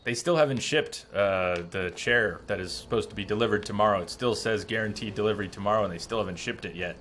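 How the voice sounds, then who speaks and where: A man's voice: on the low side, quick pace, fairly bright tone, a little hiss or hum. A man giving casual live gameplay commentary as a streamer, rambling through explanations in a joking way. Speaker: game streamer. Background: game music.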